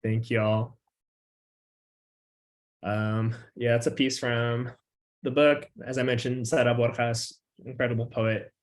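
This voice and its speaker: A young man, a featured poet, who talks in a relaxed, loose way with plenty of filler words and reads his poems with an emphatic, rising refrain. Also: mid-range voice, slow pace, pauses often. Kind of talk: casual chat and poetry reading.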